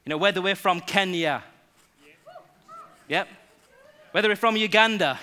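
A young man from England, preaching a sermon to his church in a lively way and joking with the congregation. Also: sits high, a little slow, smooth.

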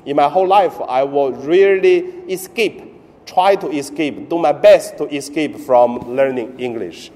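A priest from mainland China, a man speaking English with a Chinese accent, giving a Sunday homily in halting, broken phrasing with pauses and fillers. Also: on the high side, mellow, big-room sound.

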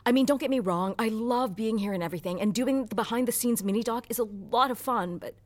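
A woman's voice: on the high side, fast talker, close to an everyday voice. An actress playing an earnest, frustrated female government staffer with a hesitant manner in a satirical audio drama.

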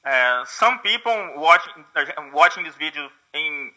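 A man's voice: a little high, animated, unhurried, bright, forceful tone, rough recording. A Brazilian man with a Portuguese accent, a guest learning English, speaking hesitantly and searching for words. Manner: hesitant, many fillers and restarts, searching for words. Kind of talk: casual conversation.

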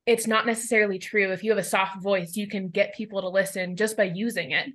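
A young woman guest talks in a bright, forceful tone that carries easily; she says herself she has no indoor voice. Her delivery is casual and conversational, with a mid-range pitch. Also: fairly even intonation, a little fast. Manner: loud, outgoing voice. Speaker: mountain guide, guest. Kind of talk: casual interview talk.

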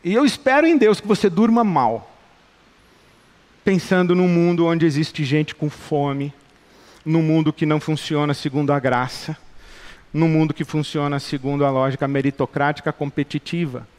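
Brazilian pastor, middle-aged, fervent and repetitive, high-pitched, reverberant hall. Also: male voice, normal speed, darkish tone. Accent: Brazilian Portuguese. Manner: passionate, rhetorical repetition. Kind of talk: sermon.

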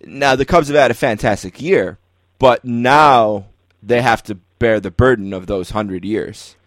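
A man's voice: mid-range voice, unhurried, dry recording. A man hosting a sports podcast, talking casually as he interviews a guest.

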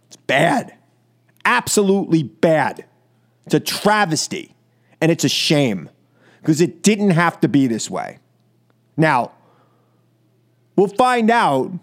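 A man with an American accent hosting political talk radio, speaking emphatically and scoldingly in short, repeated phrases. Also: on the high side. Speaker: radio talk show host. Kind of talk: political opinion monologue on call-in radio.

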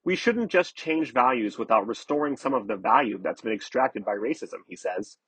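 Husky man reading a news feature. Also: medium pitch, lively intonation, moderate pace, a bit bright, dry recording, poor audio. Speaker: article narrator, reporter. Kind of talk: scripted narration of a news article.

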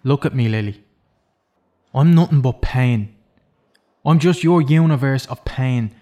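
An actor playing an imprisoned killer in an audio drama, self-loathing and intense, speaking with deliberate, persuasive insistence. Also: male voice, mid-range voice, warm tone, lightly acted. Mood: pleading, coaxing.

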